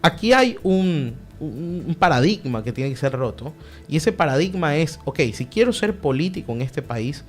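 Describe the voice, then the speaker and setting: Emphatic man, lively pitch. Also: moderate pace, faint music behind. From Ecuador. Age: young adult. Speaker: political guest, party director. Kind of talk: radio interview answer.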